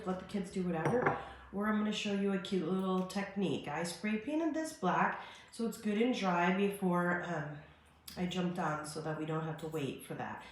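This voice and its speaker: A woman's voice: mid-range voice, a little mellow. A woman in her forties, a little stuffed up, chatting her way through a casual craft tutorial at her kitchen counter, full of fillers and asides. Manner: chatty, filler-heavy, friendly how-to talk. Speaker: craft demo host. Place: home kitchen, indoors. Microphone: phone camera on a stand at the counter. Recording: echoey kitchen with faint ambient noise.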